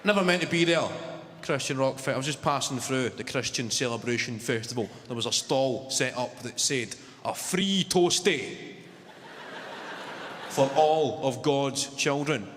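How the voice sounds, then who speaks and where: A man in his twenties with a Glaswegian Scottish accent, telling deadpan stories in a stand-up set, swearing freely. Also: medium pitch, a little slow, long reverb tail, a little hiss or hum. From Scotland. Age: 20s. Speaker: stand-up comedian. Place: large arena on stage. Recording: live arena with loud crowd. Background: audience laughter.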